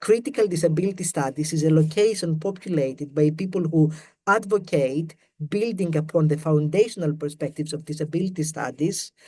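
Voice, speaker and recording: Greek-accented man giving a measured lecture. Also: on the high side, mellow. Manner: careful, measured reading of prepared text with quotes. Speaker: academic panellist. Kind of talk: academic webinar presentation.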